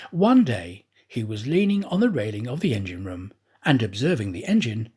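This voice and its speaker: A male actor narrates a storybook in a husky voice. His reading is dramatic and expressive, with lively, wide-ranging intonation. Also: mid-range voice. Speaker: audiobook reader, professional actor.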